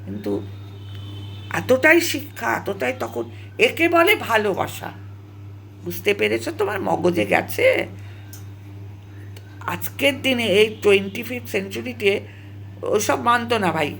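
An elderly woman in her seventies speaks with a gravelly creak and wide swings of pitch. She recounts her own past dramatically, pausing and repeating phrases for weight. There is faint noise behind her. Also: big pitch swings, fairly bright tone. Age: 70s. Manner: dramatic, halting, repeats phrases for emphasis, mixes in English words. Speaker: storyteller recalling own past. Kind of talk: emotional personal storytelling, casual monologue.

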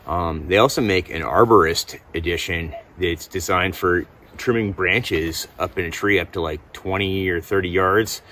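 A man's voice: sits low, a little slow, hardly any pauses, bright, forceful tone. A middle-aged man giving a casual gear review to camera outdoors, laid-back and informal with enthusiastic slang. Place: outdoors in snowy woods.

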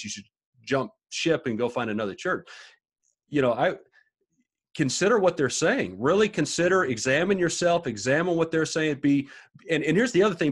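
A man's voice: mid-range voice, breathy edge. A man in his thirties talking from a home study over a video call, thinking aloud and often repeating words as he reasons. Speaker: podcast co-host. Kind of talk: casual discussion on a video call. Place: small home study with bookshelves.

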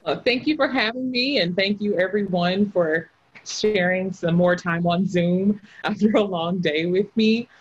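A woman's voice: mid-range voice, unhurried, slightly rough. A young adult woman from rural Texas with a Texan accent, giving a conversational lecture from a home office over a video call. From rural Southeast Texas. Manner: conversational, personal, lively hand gestures. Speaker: guest lecturer, historian. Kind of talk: online lecture. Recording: dull lo-fi video call audio.

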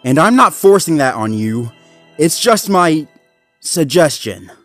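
Casting: accusing, hot-tempered cartoon dog man performing, higher than his natural voice, emphatic delivery, faint music. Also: big pitch swings, a little slow, fairly bright tone, dry recording. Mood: insistent, distrustful. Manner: confrontational, emphatic. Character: angry, bitter, accusing male cartoon Labrador pup.

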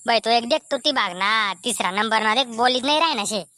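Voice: mid-range voice, fairly quick, pushed and bright, no room sound, close to an everyday voice. A performer voicing a pushy, suspicious villager in a comedy cartoon, with a Khandeshi Ahirani accent. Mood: accusing. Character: pushy, suspicious villager demanding lost money back.

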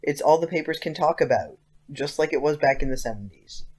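An actor playing an earnest, persistent teenage schoolboy with casual, youthful phrasing in an audio drama. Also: male voice, fairly high pitch, animated, a little fast, a little mellow, husky. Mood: earnest, insistent.

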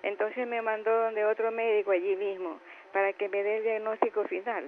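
A woman's voice: medium pitch, flat delivery, fairly quick, slight background noise. A woman in her seventies calling in to a health show, telling her story in a rambling, polite way. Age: 70s. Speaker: caller. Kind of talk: phone-in question. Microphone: telephone. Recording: tinny phone line.

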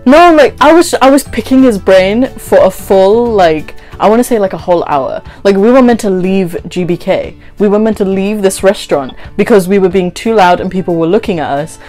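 Casting: female vlogger, twenties, animated and giggly, casual storytelling delivery. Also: medium pitch, normal speed, few pauses, soft background music. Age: 20s. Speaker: vlogger, host. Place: small bedroom, indoors.

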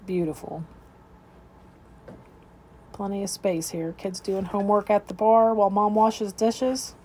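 A woman's voice: medium pitch, fairly even intonation, measured pace, darkish tone, a little hiss or hum. A woman real estate agent narrating an informal, unscripted walkthrough of a home, describing each room as she moves through it. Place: indoors, moving through rooms of a house.

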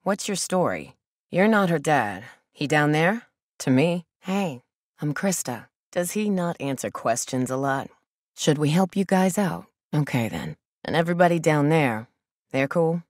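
A woman's voice: low-pitched, big pitch swings, a little slow, no room sound, full character voice. A woman voice actor playing a guarded, blunt, protective young survivor of a zombie apocalypse in a video game, with a dry delivery. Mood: guarded, wary.

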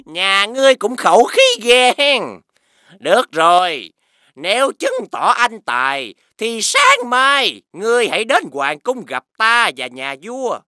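A man voicing an arrogant, demanding foreign envoy in an animated fairy tale, with a haughty, scolding delivery. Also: sits high, measured pace, no echo, studio quality, full character voice. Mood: smug, formal. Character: arrogant, demanding male envoy of a great kingdom. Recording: music behind the voice.

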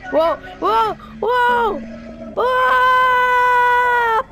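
An excitable, melodramatic young-sounding gamer improvising a zombie apocalypse roleplay over game sounds. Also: very high voice, extremely slow, background noise. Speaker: gaming video creator playing a roleplay character.

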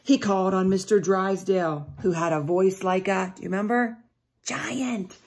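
A middle-aged woman reads a picture book aloud to children, deliberately, pausing to ask them questions. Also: mid-range voice, a little mellow. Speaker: teacher reading aloud to children. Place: small indoor room at home.

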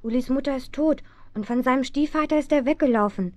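An actress playing an eager, excitable, impulsive teenage girl detective in a children's radio play. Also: sits high, fast, dry recording, close to an everyday voice.

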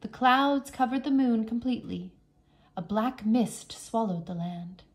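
A woman reads a children's book aloud as a teacher would. Her voice is fairly high and her pace is very slow. She narrates carefully, with expressive storytelling. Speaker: English teacher reading aloud.

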